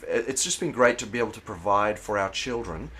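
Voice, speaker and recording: A middle-aged South African pastor, talking in a relaxed interview, thoughtful and pausing with fillers and restarts. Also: male voice, fairly low pitch, husky. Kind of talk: casual interview conversation.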